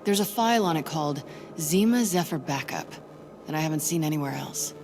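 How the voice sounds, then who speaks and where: A woman's voice: sits low, expressive, brisk, music in the background. An actress playing a composed, resolute female spy in a video game, speaking in a cool, matter-of-fact way. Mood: focused, resolute. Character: composed, resolute female spy, warm toward her old lover. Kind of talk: cinematic video game acting.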